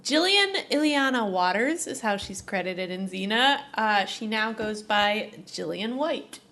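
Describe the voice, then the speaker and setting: A woman's voice: mid-range voice, animated, unhurried, pushed and bright. A woman co-hosting a podcast, chatting casually about television in a playful, enthusiastic way. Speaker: podcast co-host. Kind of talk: casual TV recap chat.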